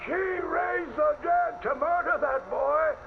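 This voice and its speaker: A man's voice: very high voice, slow pace, gravelly, narrow, tinny audio, heavily acted. A man acting a fanatical villager in a radio ghost tale, condemning and melodramatic. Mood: accusing. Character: accusing, fanatical villager in witch-burning tale. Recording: band-limited old radio sound, loud music behind.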